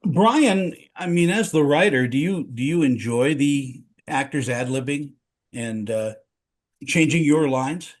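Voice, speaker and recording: A man's voice: fairly high pitch, lively intonation, measured pace. A man hosting an interview, asking the cast questions and laughing along. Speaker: interview host.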